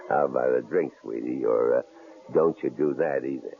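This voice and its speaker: An actor playing a menacing, sneering male stranger in an old radio drama, with a gravelly, mocking drawl. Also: a bass voice, normal speed. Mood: leering. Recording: tinny old radio broadcast, faint hiss.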